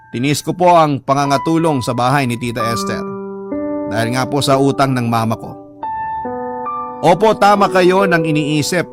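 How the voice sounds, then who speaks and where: Man narrating over music. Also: a little high. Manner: earnest, polite storytelling. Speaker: letter reader, narrator. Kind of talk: scripted narration of a listener's letter. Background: background music.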